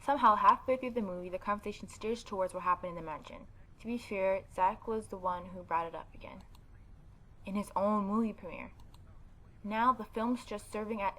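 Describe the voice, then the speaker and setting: A woman's voice: mid-range voice, bright, forceful tone, slight background noise, low-quality recording. A woman hosting a gaming video, reading a visual novel aloud and adding informal, opinionated commentary. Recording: background game music behind voice.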